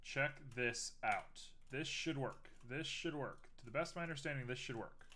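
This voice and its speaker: A man's voice: medium pitch, normal speed, bright, forceful tone. A young man streaming live while making art, chatting casually and teasing himself as he works. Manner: chatty, self-mocking, thinks aloud while working.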